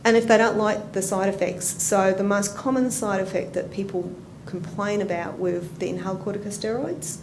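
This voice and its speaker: A woman's voice: medium pitch, fairly even intonation, normal speed, hardly any pauses. A woman with an Australian accent, a nurse educator giving a chatty, anecdotal conference talk and asking the audience questions. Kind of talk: conference presentation. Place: conference room. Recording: roomy hall with background noise.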